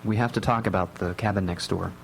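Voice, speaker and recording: Guy playing a calm jokey dad in a radio play, dry and protective, faint hum in the back. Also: deep voice, creaky, low-quality recording. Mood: serious, protective. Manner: dry, deliberate, mock-formal humour. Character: wry, calm, protective father of three. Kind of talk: radio drama acting.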